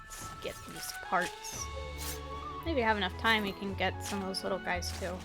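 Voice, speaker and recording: A woman with a slightly gravelly voice rambles through relaxed, chatty game commentary, poking fun at herself as she goes. Game music plays clearly underneath her. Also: mid-range voice, moderate pace. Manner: chatty, rambling, self-deprecating. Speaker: gaming streamer. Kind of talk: casual commentary while playing.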